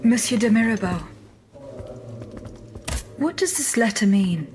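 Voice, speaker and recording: An actress playing a calm, curious female automaton investigator in a video game, polite and direct. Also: fairly high pitch, measured pace, a little hiss or hum. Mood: calm inquiry. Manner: composed, polite, direct questioning. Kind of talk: video game cutscene dramatic acting.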